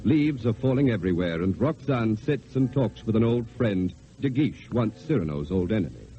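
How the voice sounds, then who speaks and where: A man narrating a scripted old-time radio drama in a formal, deliberate, dramatic way. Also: on the low side, lively intonation, mellow, a little hiss or hum. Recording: narrow old radio recording. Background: music.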